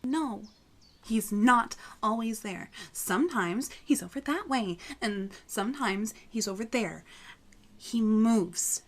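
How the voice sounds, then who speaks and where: A woman's voice: a little high, lively intonation, takes it slowly, exaggerated voice. A woman playing a wary, stubborn young village girl in an audio drama, sounding insistent and uneasy.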